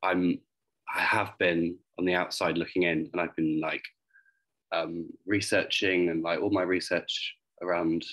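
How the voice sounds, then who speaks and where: Very deep-voiced young man, halting. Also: little pitch movement, fairly bright tone, low-quality recording. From Wales. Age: young adult. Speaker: artist on a discussion panel. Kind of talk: reflective panel talk. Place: small room at home, video call. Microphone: video call.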